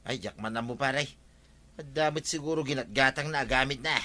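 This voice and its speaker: An actor playing a cocky, evasive village husband with an Ilocano accent in a radio comedy drama. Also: male voice, mid-range voice, fairly quick, bright, forceful tone, natural voice. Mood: chummy. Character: cocky, evasive village husband who dodges farm work.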